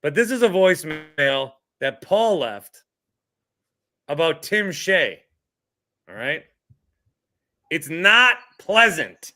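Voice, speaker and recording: A man hosting a laid-back sports podcast, with a clearly bright voice pitched a little high for a man. He talks in an easygoing, joking way. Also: sing-song, slow, pauses often. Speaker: podcast host. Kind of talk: casual sports podcast chat.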